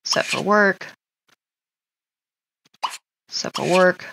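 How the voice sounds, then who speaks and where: A woman's voice: fairly low pitch, drawn-out words, creaky, background noise. A woman casually commenting on her life-simulation gameplay, chatting to her sims and laughing often.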